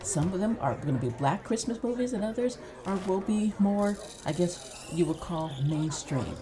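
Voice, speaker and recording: A woman's voice: fairly low pitch, animated, normal speed, crowd noise. A woman hosting a podcast, introducing the discussion in a casual, conversational style. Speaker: podcast host. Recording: background voices.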